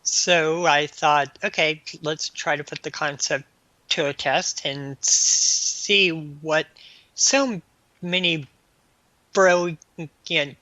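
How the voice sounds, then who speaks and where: A man answering as an interview guest in a halting, stammering way, often repeating sounds and words. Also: on the high side, fairly even intonation, sharp tone, dry recording, low-quality recording. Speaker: guest author and anthology editor.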